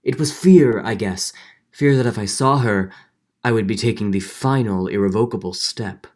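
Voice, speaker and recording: A man's voice: lowish voice, normal speed, a little mellow, natural voice. A man playing a middle-aged judge, earnest and guilt-ridden, in a dramatic 1940s radio drama style with hesitant turns. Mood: brooding, confessional. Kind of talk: 1940s radio drama acting with first-person narration.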